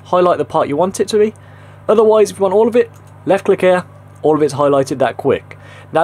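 A young man with a high, somewhat husky voice narrates a screen-recorded tutorial. He speaks informally, walking through each step as the host of the video. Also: darkish tone. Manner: informal, instructional.

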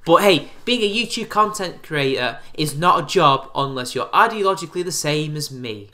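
A man's voice: fairly high pitch, expressive, normal speed, bright, forceful tone. A man in his twenties ranting sarcastically in a response video, recorded on a webcam in a small room. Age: 20s. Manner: sarcastic, exasperated rants. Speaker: YouTube commentator. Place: small bright room indoors.

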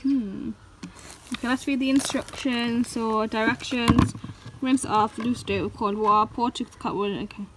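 A woman's voice: on the high side, fairly even intonation, unhurried. A girl filming a car wash outdoors, reading instructions and guiding a younger child. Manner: instructing and encouraging. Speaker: vlogger filming. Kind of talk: casual chat. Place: outdoors on a driveway. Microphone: handheld phone camera. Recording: noisy outdoor phone recording.

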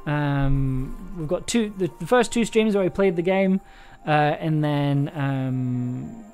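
A man's voice: on the high side, animated, slow, darkish tone, clean tone. Young man rambling over background music. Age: young adult. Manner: hesitant, rambling, thinking aloud. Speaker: audio drama producer and streamer. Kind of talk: casual livestream chat. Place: small indoor room at home. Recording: music playing underneath.

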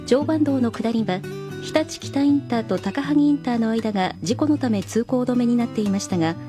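A woman's voice: on the high side, brisk. A woman reporting road conditions in a scripted radio bulletin. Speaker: traffic reporter. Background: guitar music.

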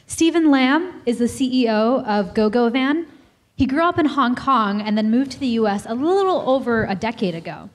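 A young woman with a slightly high voice gives a formal, fluent introduction at a lecture-hall podium. She speaks into a handheld mic and stays poised throughout. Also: animated, normal speed. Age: 20s. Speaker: student event coordinator.

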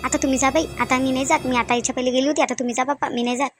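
A woman's voice: sits high, fairly even intonation, racing, soft background music. A woman voicing a reluctant, defensive young daughter-in-law in a comedy cartoon, with a Varhadi Marathi accent. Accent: Varhadi Marathi (Vidarbha).

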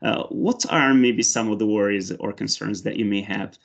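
A man's voice: fairly low pitch, normal speed, creaky, highs cut off. A man from Morocco with a Moroccan accent, native in Arabic and Berber, an English teacher in a mock interview and demo lesson on a video call, hesitant with frequent fillers and restarts. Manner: hesitant, many fillers and restarts, rises brightly when teaching. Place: indoor room with large windows. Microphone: video call, headset.

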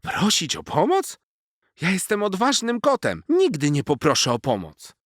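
A man's voice: high voice, huge pitch swings, normal speed, no echo, studio quality, pitched above the performer's own voice, exaggerated voice. A man voicing a very young male cat from a children's story, proud, boastful and easily offended. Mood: indignant, proud. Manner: boastful, indignant.

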